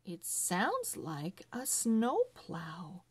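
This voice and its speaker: A woman voicing a kind, reassuring mother in a children's storybook, measured and gentle. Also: mid-range voice, wildly swooping pitch, breathy edge, no room sound, full character voice.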